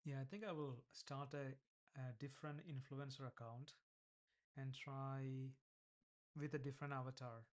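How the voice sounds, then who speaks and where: A man with an Indian accent talks casually while working through his app. He thinks aloud, pausing, restarting and trailing off mid-sentence. His pitch stays in an ordinary male middle range. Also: steady tone. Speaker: vlogger building an app. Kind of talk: casual screen-recorded coding vlog.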